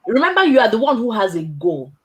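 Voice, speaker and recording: Husky-voiced woman hosting a career chat. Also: fairly high pitch, quick pace. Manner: animated storyteller, laughs often; emphatic, tags points with "right?". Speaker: host. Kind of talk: casual chat.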